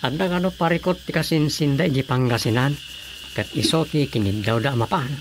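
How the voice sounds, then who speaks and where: A man's voice: mid-range voice, fairly quick, natural voice. An actor playing an everyday family man, a husband, in a radio drama, with an Ilocano accent. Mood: conversational. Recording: noisy track with chirping night crickets.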